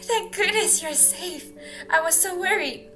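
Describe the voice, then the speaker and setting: A very high young female voice from a woman actor in a radio drama. She plays a caring, anxious friend, pleading and concerned, over light music. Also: unhurried, pushed and bright, heavily acted. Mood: worried, relieved. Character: anxious, caring teenage girl, worried friend of refugee. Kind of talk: amateur radio drama acting.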